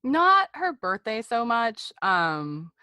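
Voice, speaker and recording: A woman's voice: on the high side, big pitch swings, slow, sharp tone, clean tone, dry recording. An American woman in her thirties telling personal stories as a podcast guest, in a candid, chatty conversational way. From Seattle area, United States. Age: 30s. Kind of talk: personal storytelling in a conversational interview.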